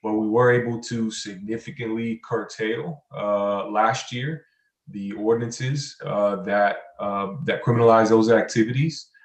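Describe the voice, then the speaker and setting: A man speaking as an expert panelist, explaining advocacy work in halting, measured phrases. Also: fairly low pitch, fairly even intonation. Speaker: criminal justice program director, panelist. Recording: roomy, echoey sound.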